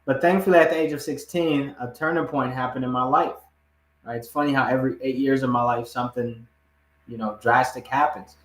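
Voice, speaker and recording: A man's voice: mid-range voice, fairly even intonation, fairly quick. Emphatic male storyteller in an echoey room. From Ghana, moved to the United States aged eight. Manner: emphatic, repetitive, often checks in with "right?". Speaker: podcast guest, entrepreneur. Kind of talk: conversational personal storytelling. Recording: roomy, echoing room sound.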